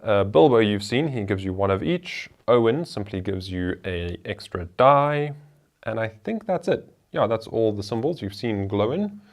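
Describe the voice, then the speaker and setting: A man's voice: lowish voice, lively intonation, normal speed. A man hosting a board game show, talking through the rules and each move of a solo playthrough in a chatty way.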